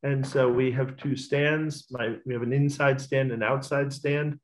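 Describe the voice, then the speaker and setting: A man's voice: medium pitch, steady tone, measured pace, low-quality recording. A middle-aged man, talkative and self-assured, giving casual interview answers as a racecourse bookmaker. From Australia.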